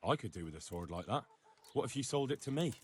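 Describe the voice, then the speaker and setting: A man's voice: mid-range voice, big pitch swings, fast, distant mic, slight background noise, lightly acted. An actor playing a plain-spoken young medieval man in a video game, questioning things in a dry, practical way. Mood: interested. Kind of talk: video game dialogue acting.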